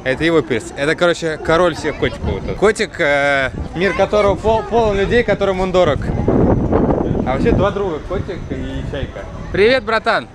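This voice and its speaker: A man's voice: on the high side, expressive, fairly quick. Young guy vlogging on a windy pier, jokey and slangy, action cam sound with lots of wind. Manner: joking, loose slangy commentary. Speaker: travel vlogger. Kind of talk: casual travel vlog chat. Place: outdoors on a windy waterfront and pier. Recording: wind and boat noise outdoors.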